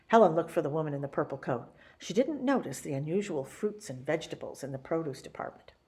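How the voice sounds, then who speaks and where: A woman reading a children's picture book as a story-time host, with theatrical, deliberate emphasis. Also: sits low, animated, darkish tone. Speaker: library story-time reader.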